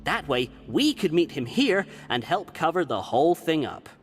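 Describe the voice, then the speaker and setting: A man's voice: on the high side, sing-song, moderate pace. An actor playing a loyal, earnest prisoner explaining things to his companions, with game music behind. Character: loyal male prisoner crew member, earnest. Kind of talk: game voice acting.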